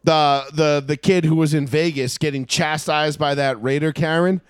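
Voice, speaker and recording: A man's voice: on the high side, fairly even intonation, normal speed, clean tone, dry recording, clean studio sound. A man in his thirties with an American accent chatting about sports and telling stories in a profane, opinionated, emphatic way. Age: 30s. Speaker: sports talk show host. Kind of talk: casual sports chat and storytelling.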